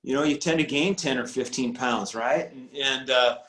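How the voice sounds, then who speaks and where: A man in his forties, a high school football coach, talking casually on a video call, rambling with many restarts and fillers. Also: normal speed, a bit bright. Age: 40s. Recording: roomy echo on video call audio.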